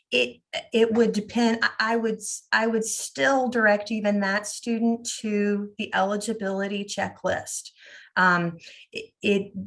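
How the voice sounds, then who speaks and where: A woman's voice: mid-range voice, a bit bright. A woman hosting an online scholarship webinar, explaining the process in a friendly, informal way with frequent fillers and restarts.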